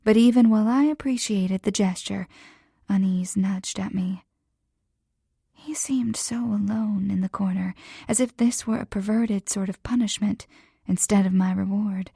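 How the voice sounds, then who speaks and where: A woman narrating a first-person romance audiobook in a soft, breathy whisper. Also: medium pitch, fairly even intonation, a little slow, no echo, studio quality.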